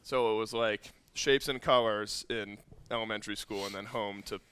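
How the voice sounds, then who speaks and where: A man's voice: lowish voice, steady tone, moderate pace, a bit bright, clean tone, dry recording. A man casually hosting an esports roundtable, bantering and asking the others questions in an unscripted, joking way.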